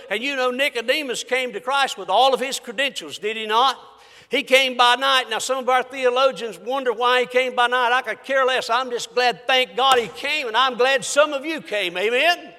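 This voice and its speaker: Male preacher, very high forceful voice, emphatic sermon delivery with drawn-out key phrases, big church reverb. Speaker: preacher, pastor. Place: large echoing church. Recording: long hall reverb.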